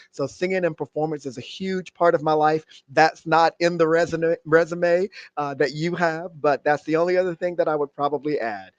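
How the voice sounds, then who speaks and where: A man's voice: a little high, no echo. Earnest man, dry dull sound. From middle Georgia, USA. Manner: earnest, thankful, speaks with some fillers and restarts. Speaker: guest. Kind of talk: conversational interview.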